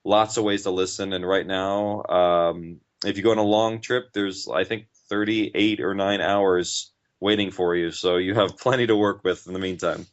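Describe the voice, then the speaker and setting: A man's voice: deep voice, fairly even intonation, moderate pace, few pauses. A man talking casually as an interview guest, in an informal, rambling and enthusiastic way. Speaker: interview guest, podcast writer and director. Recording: dull, lo-fi audio.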